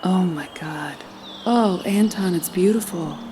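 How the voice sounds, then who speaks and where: A woman's voice: fairly low pitch, measured pace, noisy background, close to an everyday voice. An actress playing a caring, determined woman leader in an audio drama, speaking in a frank, earnest and tender way. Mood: tender, awed. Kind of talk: naturalistic audio drama acting. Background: music.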